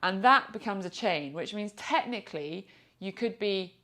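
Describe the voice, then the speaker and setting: A woman's voice: mid-range voice, animated, moderate pace, fairly bright tone. A woman with a British accent giving an informal product review in a chatty, explanatory way. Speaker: product reviewer and motorcycle vlogger.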